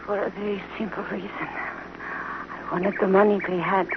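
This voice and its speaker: A woman playing a dying, remorseful female outlaw in a radio drama, speaking faintly and haltingly as her strength fails. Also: medium pitch, flat delivery, vocal fry, close to an everyday voice. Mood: weak, remorseful. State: weakening, near death. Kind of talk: 1950s radio drama acting. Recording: dull, noisy old radio recording.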